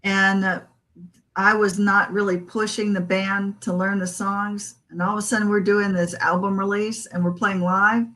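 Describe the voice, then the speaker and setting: Bright-voiced woman musician chatting. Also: medium pitch, little pitch movement. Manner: laughs often, quick to joke. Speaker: musician guest. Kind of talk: casual interview chat.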